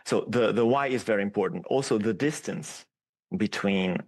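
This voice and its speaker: A man whose first language is Italian, a podcast guest, explaining his views at length in a steady, reflective way. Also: on the low side, moderate pace. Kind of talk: casual podcast interview.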